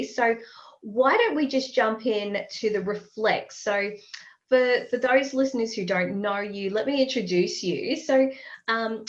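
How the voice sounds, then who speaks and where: A woman's voice: mid-range voice, dull audio. A woman hosting a podcast interview, chatting in a friendly way with plenty of fillers while getting over a respiratory ailment. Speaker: podcast host. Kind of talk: casual interview chat.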